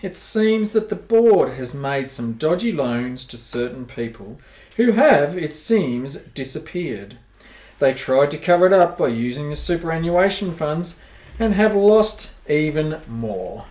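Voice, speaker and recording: A man performing in a homemade radio sketch with a dry, mocking delivery. His pitch moves around a lot. The room is noticeably echoey. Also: lively intonation, unhurried, darkish tone. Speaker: radio play actor. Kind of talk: radio news chat sketch.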